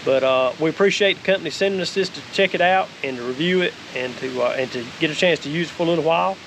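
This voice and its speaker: A man's voice: a little high, fast talker, fairly bright tone, rough recording. A middle-aged man with a Southern American accent giving a casual, folksy, plain-spoken product review. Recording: faint hiss and outdoor noise.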